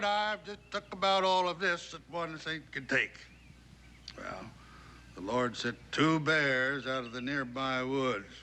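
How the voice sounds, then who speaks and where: A man's voice: medium pitch, a little hiss or hum. An actor playing a folksy, genial male teller of tall tales in an old television western, with drawling frontier phrasing. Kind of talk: 1960s TV western acting, unhurried storytelling. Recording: loud music behind TV soundtrack.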